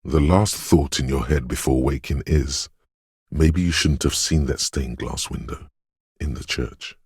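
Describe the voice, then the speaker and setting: A man voicing a brooding, dreamlike inner voice of intuition in a video game, speaking in a slow, hushed, portentous and theatrical way. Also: dry recording, studio quality, heavily acted. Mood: dreamy, hypnotic. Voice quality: very deep, husky, gravelly whisper-edged rumble. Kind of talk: dramatic video game voice acting. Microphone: close, dry studio microphone.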